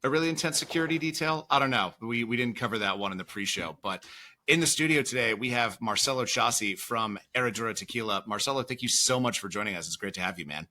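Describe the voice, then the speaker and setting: A man's voice: medium pitch, lively intonation, pushed and bright, slight background noise. A man co-hosting a podcast, joking and swearing casually while he introduces a guest. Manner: jokey, profane, fast asides. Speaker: podcast co-host.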